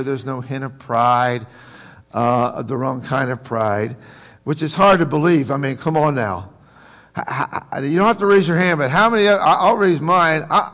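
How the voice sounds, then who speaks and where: A man's voice: on the high side, a bit bright. An American man preaching a conversational sermon as a pastor, with a folksy, joking manner and questions put to his congregation. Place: large room, preaching to a congregation. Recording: reverberant hall.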